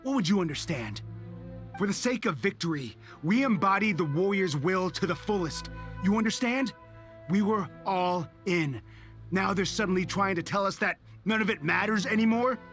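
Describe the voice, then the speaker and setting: A bitter rebel soldier speaks with grim, defiant intensity. His voice sits fairly high for a man, with faint music in the background. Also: animated, normal speed, fairly bright tone. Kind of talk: video game voice acting.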